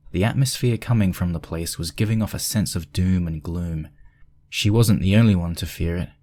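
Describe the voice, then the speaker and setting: Male narrator, low register, reading prose fiction from a script. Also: darkish tone.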